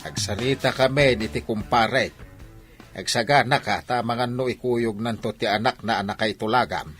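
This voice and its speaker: A man playing a stubborn, scolding father in an Ilocano audio drama, with a husky voice. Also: mid-range voice, moderate pace, fairly bright tone, soft background music, close to an everyday voice. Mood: insistent, scolding. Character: stubborn, scolding father arranging his daughter's marriage.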